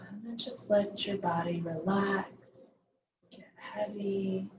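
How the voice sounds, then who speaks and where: A woman guiding a restorative yoga class in a slow, soft, soothing way while losing her voice. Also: mid-range voice, a little hiss or hum. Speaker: yoga instructor. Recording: slightly dull lo-fi audio.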